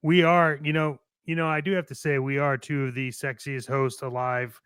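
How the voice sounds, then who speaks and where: A man's voice: mid-range voice, flat delivery, normal speed, fairly bright tone, smooth, no room sound, studio quality. A man co-hosting a casual toy collecting show, speaking with enthusiastic, cocky, jokey hype. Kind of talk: casual radio show chat and playful ad reads.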